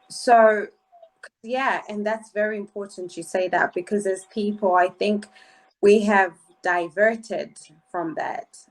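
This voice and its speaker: A woman's voice: medium pitch, low-quality recording. A woman hosting a radio interview show, questioning her guest with emphatic rises on key words.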